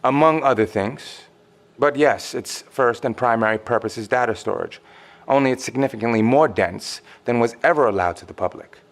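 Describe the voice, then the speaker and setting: An actor playing a cagey, unflappable middle-aged man with secret knowledge, speaking in a measured, dry audio drama delivery. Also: mid-range voice, lightly acted. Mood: knowing, assured. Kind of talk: naturalistic audio drama acting.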